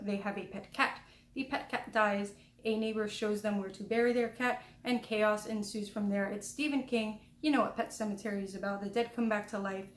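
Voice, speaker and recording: A young woman chatting casually about books she read, rambling and self-deprecating, talking into a headphone mic at home. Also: fairly high pitch. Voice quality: small, soft voice. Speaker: book vlogger. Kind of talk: casual book review chat. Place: home room. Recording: echoey room, cheap mic.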